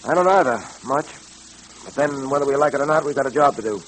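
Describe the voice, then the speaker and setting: An actor playing a serious, determined police detective in an old-time radio drama, businesslike in manner. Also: male voice, medium pitch, a bit bright, lightly acted. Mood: pensive, resolute. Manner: measured wording, serious, businesslike. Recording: noisy old radio recording, hum.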